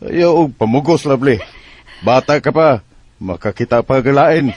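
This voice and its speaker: A man's voice: medium pitch, moderate pace, a little mellow, no echo, lightly acted. An actor playing a protective middle-aged father in a Bisaya radio drama, speaking insistently with a Cebuano accent. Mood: firm, concerned. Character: protective father advising his heartbroken grown daughter.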